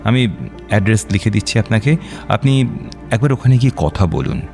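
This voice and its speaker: Soft music sits behind a doctor in a radio drama. His voice is fairly low, and he speaks deliberately and reassuringly, pausing as he explains. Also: expressive. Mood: informative, measured. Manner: deliberate, explanatory, with measured pauses. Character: measured, reassuring male doctor counselling a client. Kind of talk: Bengali radio drama acting.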